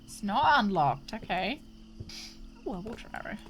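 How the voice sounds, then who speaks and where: A woman streamer chats through a game in a giggly, apologetic way. Her voice is congested and snotty, and her pitch bounces around a lot. Faint game music plays behind her. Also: sing-song, normal speed. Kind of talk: casual gameplay commentary.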